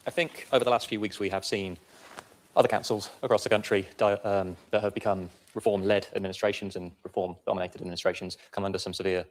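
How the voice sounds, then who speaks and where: A man's voice: fairly low pitch, little pitch movement, fast, slight background noise. A man speaking as a county councillor in a council debate, pointed and insistent. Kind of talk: formal council debate speech.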